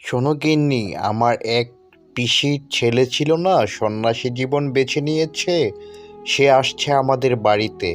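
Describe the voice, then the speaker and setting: A man's voice: mid-range voice, normal speed, lightly acted. A man playing an earnest, worried village farmer and husband in a Bangla cartoon. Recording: music under the voice.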